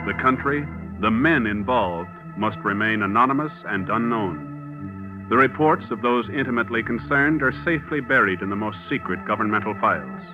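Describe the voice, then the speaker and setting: A man's voice: fairly low pitch, animated, moderate pace. A man announcing a 1950s radio drama with a grand, portentous delivery. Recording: lo-fi old radio broadcast with organ music.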